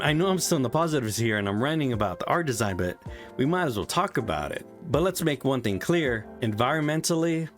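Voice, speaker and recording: A man giving a casual, ranting video game review, swearing often and restarting his sentences. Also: medium pitch.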